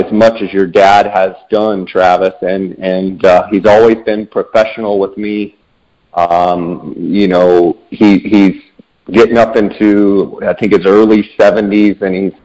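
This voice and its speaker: Male podcast host, low even voice, conversational delivery, slightly lo-fi sound.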